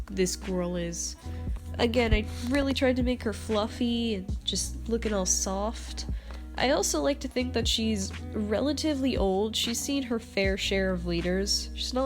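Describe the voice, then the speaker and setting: Casual woman vlogger, stuffed up with a cold, mid-pitched, talks in loose giggly tangents. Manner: rambling and chatty, laughing often. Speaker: artist presenting her character designs. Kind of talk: casual vlog narration over drawings. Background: background music.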